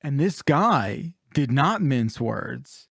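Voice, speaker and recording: A man's voice: medium pitch, very animated, slow, creaky, fairly bright tone, dry recording, studio quality. A man hosting a podcast, chatting casually and comedically with the easy looseness of someone telling anecdotes. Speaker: podcast host.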